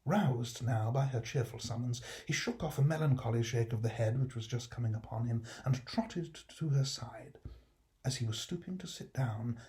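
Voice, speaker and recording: Mellow British man narrating a classic story. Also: mid-range voice, normal speed. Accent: British English. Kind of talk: expressive scripted storybook narration.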